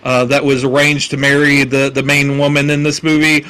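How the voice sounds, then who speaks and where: A man's voice: medium pitch, monotone, brisk, pushed and bright, clear, resonant tone. A man co-hosting a film podcast, talking casually with lots of fillers and restarts and frequent laughs. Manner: rambling, many fillers and restarts, laughs often. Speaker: podcast co-host.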